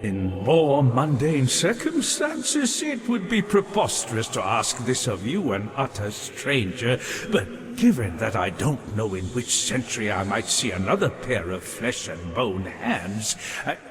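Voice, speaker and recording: A melancholy, bookish undead gentleman in a fantasy game, speaking slowly in ornate, earnest phrases. His theatrical delivery rises and falls widely, and it rings through a long, reverberant hall. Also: mid-range voice, big pitch swings. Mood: hesitant, yearning. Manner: formal, ornate, halting and earnest. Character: courteous, lonely undead male scholar, bookish and melancholy. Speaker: non-player character in a fantasy video game. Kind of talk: theatrical video game character acting. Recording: long reverberant hall, background hiss and ambience.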